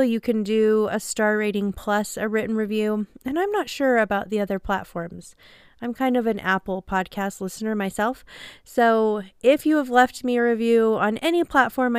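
A woman's voice: on the high side, smooth, no room sound, studio quality. A woman hosting a podcast in a casual, upbeat and self-deprecating chat, a little nasal from a passing cold. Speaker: podcast host.

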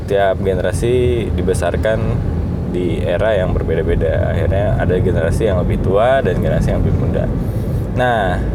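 A man in his thirties talking on his own in a reflective, hesitant way, pausing often with fillers. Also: deep voice, heavy background noise. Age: 30s. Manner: thoughtful, hesitant, many fillers. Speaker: podcast host. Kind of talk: reflective solo talk.

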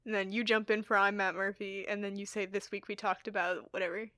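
A woman's voice: mid-range voice, brisk, dry recording, clean studio sound. A young woman co-hosting a podcast in casual chat, laughing often as she talks. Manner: giggly, laughs often, animated. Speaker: podcast co-host.

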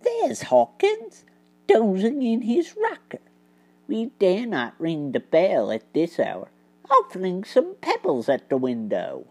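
Woman as hushed, stern gentleman mouse detective. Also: big pitch swings, full character voice. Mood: hushed, alert. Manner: low, hushed, measured authority. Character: calm, stern, commanding male mouse detective.

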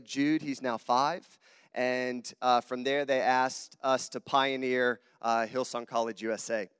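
A man's voice: medium pitch, fairly bright tone, smooth, studio quality. A man with a Southern US accent preaching a sermon in a casual, earnest way, often turning to the congregation. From southern United States. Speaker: guest preacher. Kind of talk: sermon with conversational asides. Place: church auditorium. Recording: long hall reverb on the voice.

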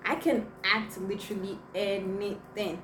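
A woman's voice: medium pitch, fairly even intonation, unhurried. A young woman whose first language is Igbo, chatting to viewers as she tells a personal story with many fillers. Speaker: vlogger. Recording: echoey room, mic at a distance, noisy.

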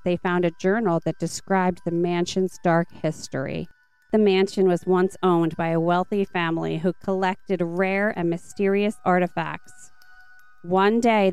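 A woman's voice: on the low side, darkish tone, clean tone, no echo. A woman hosting and reading scripted stories aloud for children. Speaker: podcast host and story reader.

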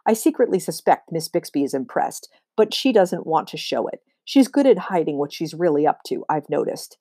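A woman reads a children's novel aloud. Her voice is soft-edged and on the low side, with lively swings in pitch and emphatic phrasing. The sound is close and dry. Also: moderate pace, breathy edge, dry recording. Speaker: read-aloud reader. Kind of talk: expressive read-aloud storytelling of a children's novel.

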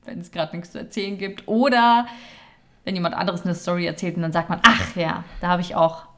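A young woman co-hosting a casual podcast, chatty and quick to laugh. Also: fairly low pitch, fairly even intonation, pushed and bright. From Germany. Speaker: podcast co-host. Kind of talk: casual chat.

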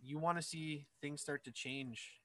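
A man's voice: fairly high pitch, fairly even intonation, unhurried, bright, forceful tone, a little hiss or hum. A man hosting a casual interview, rambling and joking at himself. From Scotland. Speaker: host.

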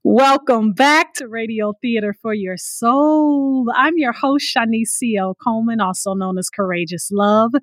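A woman's voice: a little high, unhurried, hardly any pauses, no echo. A woman hosting a radio show in an effusive, encouraging manner.

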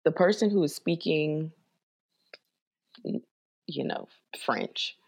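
Interview host, mid-range voice, lively and chatty, prompts the guest. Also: mid-range voice, creaky. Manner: lively, asks for repeats, quick asides. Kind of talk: casual interview.

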